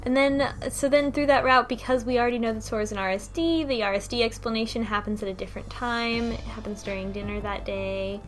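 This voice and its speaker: A woman's voice: fairly high pitch, brisk, hardly any pauses. A woman co-hosting a casual book club discussion about a game, recounting a story with wry asides. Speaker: podcast co-host.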